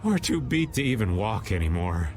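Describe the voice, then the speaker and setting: An actor playing a flippant, world-weary rogue in a video game. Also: male voice, lowish voice, big pitch swings, normal speed, darkish tone, slight background noise. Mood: worn out. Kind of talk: game cutscene voice acting.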